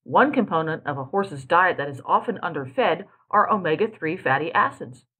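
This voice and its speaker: Lively breathy woman reading an ad. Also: on the low side, normal speed. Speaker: ad announcer. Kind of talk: scripted commercial read.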